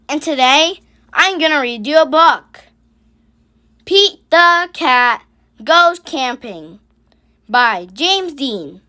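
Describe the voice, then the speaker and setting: A person reading a children's picture book aloud in a deliberate storytelling style, drawing out the questions. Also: high voice, sharp tone. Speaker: children's book reader and channel host. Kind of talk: read-aloud storybook narration.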